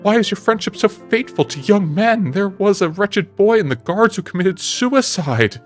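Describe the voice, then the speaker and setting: An earnest, anguished gentleman painter, as performed by a man in an audiobook. His voice sits fairly high and he pleads and preaches with emphatic feeling. Music plays behind him. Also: expressive, normal speed. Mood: accusing, distressed. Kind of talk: dramatic audiobook character reading.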